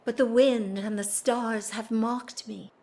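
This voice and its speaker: Woman playing a slow-speaking, sorrowful noble lady. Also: fairly high pitch, steady tone, darkish tone. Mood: fearful, melancholy. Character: restrained, sorrowful upper-class married lady hiding her love. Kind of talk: stage-style period radio drama acting.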